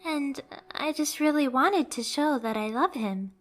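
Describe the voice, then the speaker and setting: A woman's voice: high voice, a little slow, fairly bright tone, soft background music. A woman voicing a devoted, submissive young catgirl pet in an ASMR romance roleplay, tender, earnest and pleading. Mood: fond, restless.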